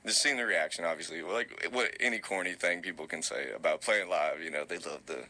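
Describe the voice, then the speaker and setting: A young man, answering interview questions in a relaxed, rambling way with many fillers. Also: a little fast. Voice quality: low voice. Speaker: musician guest. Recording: tinny phone-like recording.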